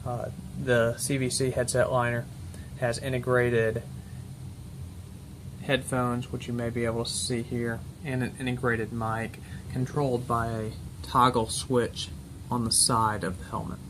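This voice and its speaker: A man with a mid-pitched voice talks through technical equipment as a presenter, off the cuff and step by step. His delivery is matter-of-fact and exact about detail. There is noticeable background noise in the recording. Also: flat delivery, unhurried. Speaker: presenter demonstrating military intercom equipment. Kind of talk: unscripted technical walkthrough.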